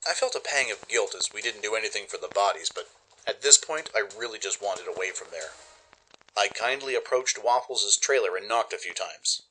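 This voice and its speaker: Low, very bright male narrator. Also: expressive, fairly quick, low-quality recording. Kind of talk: dramatic first-person scripted narration.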